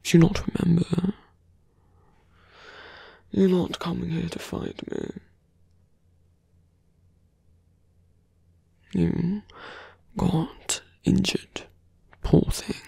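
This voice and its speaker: Suave villain mage for fantasy ASMR roleplay, played by a man: very creaky, breathy, slow and deliberate, mocking with calm menace. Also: sits high, no echo, exaggerated voice. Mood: mock concern. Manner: hushed, deliberate, condescending, often dropping to a whisper.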